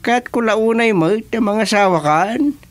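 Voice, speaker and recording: A man's voice: sits high, measured pace. An actor playing a teasing, skeptical older uncle with an Ilocano accent in a radio comedy drama, exclaiming and stretching his words. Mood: helpful, exclaiming.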